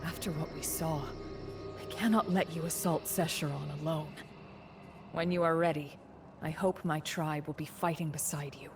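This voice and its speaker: A woman's voice: low voice, steady tone, moderate pace. A woman voicing a loyal, steadfast barbarian tribeswoman in a fantasy game, earnest, direct and dignified. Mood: earnest, steady. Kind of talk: fantasy video game acting. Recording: loud music under the voice.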